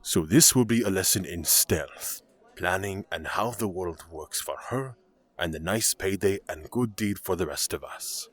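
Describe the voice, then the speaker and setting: A man voicing a self-assured fantasy adventurer with a measured manner in an audio drama. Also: sits low.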